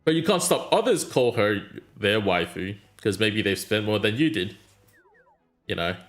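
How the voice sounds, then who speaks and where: Chatty male game streamer, animated voice. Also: fairly low pitch, normal speed, soft background music. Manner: rambling, answers chat, trails off. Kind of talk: casual live-stream chat while gaming.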